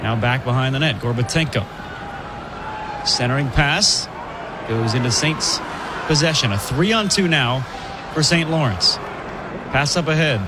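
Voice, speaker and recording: A man calling live women's college hockey play-by-play, brisk and chatty, laughing easily between plays. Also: medium pitch, expressive, noisy background. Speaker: play-by-play commentator.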